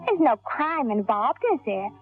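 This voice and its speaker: A cold, calculating woman from a vintage radio thriller, with a lively, rising and falling voice. She sounds seductive and scheming over a thin, tinny broadcast with music behind. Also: a little high, a little slow, slightly rough. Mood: brooding narration. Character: cold, scheming, seductive female killer and double-crosser. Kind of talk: 1940s radio crime drama acting.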